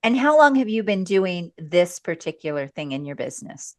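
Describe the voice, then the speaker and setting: A woman with a low voice hosts an interview podcast. She is upbeat and encouraging, and her voice is recorded dry and close, with no room sound. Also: very animated, moderate pace, dry recording. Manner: upbeat, encouraging, affirms often. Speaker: podcast host. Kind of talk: interview hosting.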